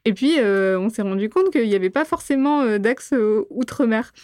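A young woman from Réunion, whose first language is Gujarati, answering interview questions thoughtfully with many pauses and fillers. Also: a little high, lively intonation, darkish tone, no echo, clean studio sound. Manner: thoughtful, frequent hesitations. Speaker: guest.

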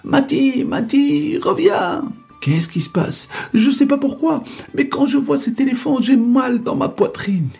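A man voicing a frightened woodcutter, crying out in a high, panicky voice. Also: big pitch swings, normal speed, a little mellow, soft background music, heavily acted. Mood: panicked. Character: frightened woodcutter turned animal, male.

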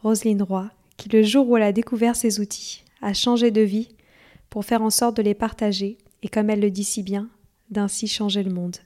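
Mid-pitched female podcast host, measured and clear. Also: steady tone, mellow. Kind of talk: scripted intro then interview questions.

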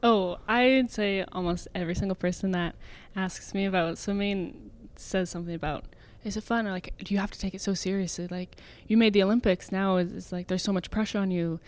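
A woman in her twenties, a swimmer being interviewed, talking casually with many fillers and quick laughs. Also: medium pitch, fairly even intonation. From Canada. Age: 20s. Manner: chatty, filler-heavy, laughs often. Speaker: guest, elite swimmer.

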